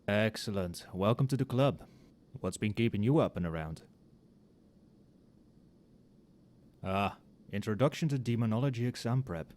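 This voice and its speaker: A man playing an overworked magic professor in a casual, soft-spoken ASMR roleplay, muttering with dry sarcasm. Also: fairly low pitch, animated, brisk, natural voice. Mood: casual, chatty. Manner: casual, muttering, dryly sardonic. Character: wry, overworked male magic professor grading papers late at night.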